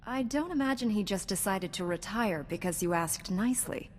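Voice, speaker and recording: A woman's voice: medium pitch, expressive, moderate pace, darkish tone, lightly acted. An actress playing a loyal, caring young female Jedi knight in a video game, speaking in an earnest, reassuring way. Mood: earnest, inquiring. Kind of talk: scripted video game dialogue. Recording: long reverb, clear background noise.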